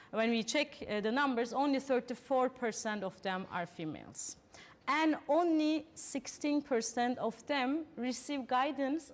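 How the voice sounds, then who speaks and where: A fairly high-voiced woman from Turkey, speaking English as a second language. She hosts a panel and walks the audience through figures in an earnest, unpolished way, pausing often on fillers and restarting sentences. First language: Turkish. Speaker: panel host. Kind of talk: presenting statistics to an audience.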